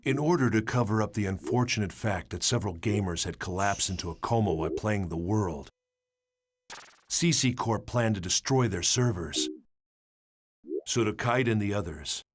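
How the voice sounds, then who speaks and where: A man giving scripted, dramatic narration of a game story in a measured, solemn manner. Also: lowish voice. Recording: music under the voice.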